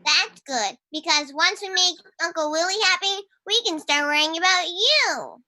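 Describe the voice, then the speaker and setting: A woman's voice: very high-pitched, normal speed, pushed and bright, full character voice. A woman voicing an uncanny, coaxing cat familiar in a live radio drama. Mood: coaxing, secretive.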